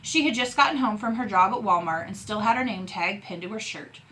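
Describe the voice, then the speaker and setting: A woman teacher reading a novel aloud in an expressive narrating style. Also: medium pitch, brisk, slight background noise, poor audio.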